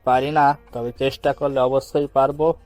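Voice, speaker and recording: A young man, played by a male actor, in a romantic audio story, mischievous and coaxing. His mid-pitched voice stays fairly level as he teases, with soft music behind. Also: flat delivery, moderate pace, natural voice. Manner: coaxing, teasing.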